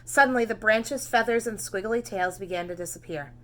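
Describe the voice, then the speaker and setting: Female storybook narrator, teacher type: bright tone, animated emphasis, pitched for young children. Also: a little fast. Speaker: teacher reading aloud.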